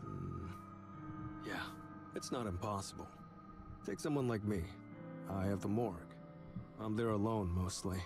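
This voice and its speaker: A man playing a morbid, unscrupulous morgue worker in a visual novel, talking in terse, deadpan phrases. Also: on the low side, a little slow, creaky, pauses often. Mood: casual, morbid. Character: morbid, unscrupulous male morgue worker, laid-back admirer of killer. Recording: music behind the voice.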